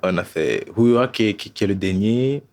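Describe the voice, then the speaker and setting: Soft-spoken young man from Togo. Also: fairly low pitch, brisk. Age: 20s. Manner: hesitant, many fillers, soft laughs. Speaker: dancer, singer and poet. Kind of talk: relaxed panel chat with a recited poem.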